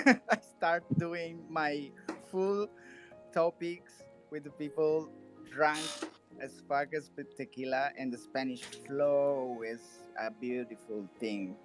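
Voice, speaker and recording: A man whose first language is Italian, chatting casually in a live audio room and telling a lively story with laughs. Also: on the high side. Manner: animated storyteller, laughs while talking. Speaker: community member. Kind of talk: casual chat.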